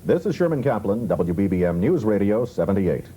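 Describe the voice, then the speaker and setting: A man chats casually on a talk show panel. He speaks quickly in a low, mellow voice, with faint hiss from the old TV recording. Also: very animated, husky. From Cincinnati, Ohio, United States. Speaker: news radio broadcaster, talk show guest. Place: television studio. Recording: faint hiss, 1970s TV broadcast.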